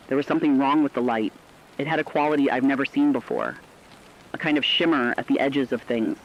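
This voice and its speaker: A man's voice: medium pitch, natural voice. A man playing the earnest, solemn host of a last surviving radio station, telling the story as scripted dramatic broadcast narration. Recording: slightly dull lo-fi radio sound.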